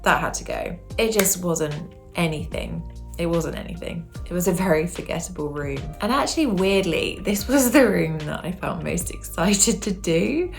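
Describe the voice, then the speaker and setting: Croaky chatty British woman. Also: fairly low pitch, expressive, normal speed, faint music behind. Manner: rambling, self-correcting, chatty. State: croaky throat. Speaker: home decor vlogger. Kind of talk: casual chat to camera.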